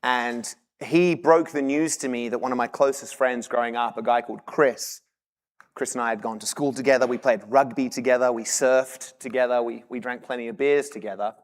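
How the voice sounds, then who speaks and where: A man in his thirties with an Australian accent, giving a lively motivational keynote speech and joking with the audience from a convention stage. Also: medium pitch, normal speed. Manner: lively, engaging the audience with humor. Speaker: keynote speaker. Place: large convention hall. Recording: long hall reverb.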